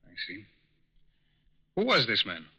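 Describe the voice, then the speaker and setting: Crisp, forceful federal agent. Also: male voice, fairly low pitch, huge pitch swings, heavily acted. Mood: calm, firm. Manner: measured, formal questioning. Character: calm, firm, fatherly male FBI special agent. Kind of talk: 1940s radio crime drama acting. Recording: muffled 1940s radio recording.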